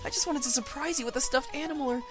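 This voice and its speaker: An actor playing a cheerful, easily flustered boyish young incubus in a visual novel game, with a bouncy, theatrical delivery. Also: male voice, very high voice, rapid-fire, a bit bright, soft background music. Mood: panicked.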